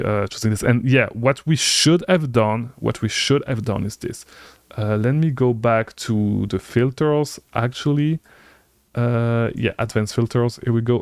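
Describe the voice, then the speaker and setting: A man whose first language is French, coaching online sellers through a software tutorial, repetitive and insistent, tagging lines with "okay?". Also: medium pitch, no room sound, clean studio sound. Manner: repetitive, lots of "okay?" tags, energetic and insistent. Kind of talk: screen-share software tutorial.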